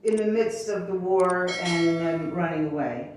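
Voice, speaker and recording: A woman's voice: lowish voice, measured pace, noisy background. A woman from the United States hosting a talk show interview in a chatty way, with fillers and self-corrections, recorded from a distant mic in an echoey room.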